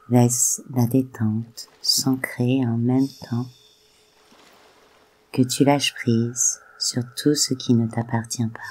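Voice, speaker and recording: Meditation guide: very slow, breathy and soft, medium pitch, soothing unhurried delivery. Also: low-quality recording. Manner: soft, unhurried, gently spaced phrases.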